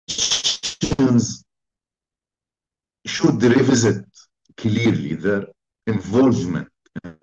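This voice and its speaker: A man delivers a formal conference talk in slow, deliberate, emphatic phrases. His voice sits fairly low. The recording is muffled and lo-fi. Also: a little mellow, reverberant. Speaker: invited speaker.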